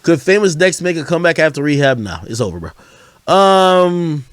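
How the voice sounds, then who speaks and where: Animated man with a wide-swinging voice. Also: a little high, normal speed, smooth, no room sound, clean studio sound. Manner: animated, slangy, swearing freely. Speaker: livestream host commenting on hip hop. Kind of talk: casual livestream chat, storytelling.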